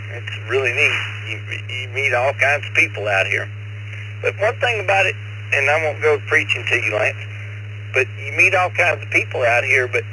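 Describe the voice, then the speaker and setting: A man's voice: medium pitch, sharp tone, low-quality recording. A man chatting casually as an amateur radio operator, in a folksy, talkative way, repeating words as he goes. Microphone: radio transceiver over internet link. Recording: amateur radio link with faint noise.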